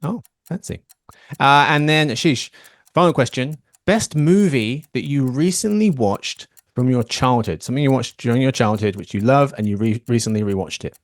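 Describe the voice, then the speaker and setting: Brief: male podcast host, joking and teasing, mid-pitched voice, dead-dry close mic. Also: animated, normal speed, no room sound. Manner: playful, teasing banter. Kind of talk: casual podcast chat.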